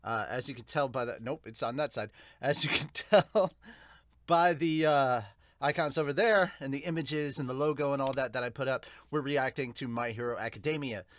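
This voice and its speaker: A man hosting a manga reaction show in a casual, excitable, rambling way. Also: medium pitch, moderate pace, no room sound. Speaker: podcast host reviewing manga.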